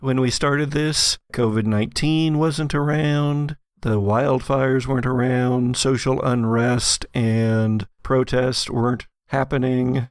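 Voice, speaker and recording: A man hosting and game-mastering an actual-play audio drama, telling the story in a measured, dramatic way. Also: medium pitch, fairly even intonation, smooth, dry recording, clean studio sound.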